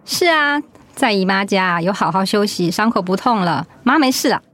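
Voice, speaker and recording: A woman's voice: medium pitch, expressive, moderate pace, fairly bright tone, no echo, natural voice. An actress playing a loving but resolute middle-aged mother in a radio drama. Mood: reassuring.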